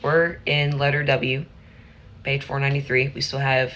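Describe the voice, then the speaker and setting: A hoarse teenage boy chatting casually at the end of a livestream, rambling and restarting his phrases. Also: medium pitch, little pitch movement, moderate pace, bright, forceful tone, dull audio, a little hiss or hum. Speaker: streamer.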